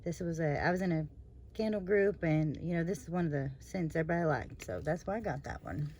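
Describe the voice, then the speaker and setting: A woman hosting her own review channel, slightly hoarse after surgery, talking in a rambling, chatty way with lots of fillers and trailing asides. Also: deep voice, lively intonation, brisk, a little mellow, slight background noise. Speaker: candle review channel host. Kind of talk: casual product review vlog.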